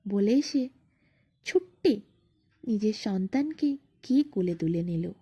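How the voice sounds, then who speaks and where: A woman narrating a bedtime fairy tale expressively, in short phrases with drawn-out dramatic pauses. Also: mid-range voice, lively intonation, dark tone, husky. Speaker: storyteller.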